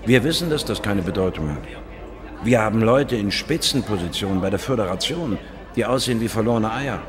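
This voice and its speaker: An actor playing a confident, worldly biologist in a science fiction radio drama, dry and explanatory. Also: male voice, on the low side, lively intonation, brisk, crowd noise, close to an everyday voice. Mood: wry, emphatic. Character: confident, worldly male biologist and space investigator. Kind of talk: radio drama dialogue and first-person narration. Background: music.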